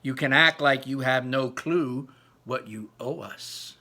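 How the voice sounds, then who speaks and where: A man's voice: mid-range voice, slow, pushed and bright, heavily acted. Man as a harsh, sneering teenage bully. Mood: accusing. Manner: accusing, sneering.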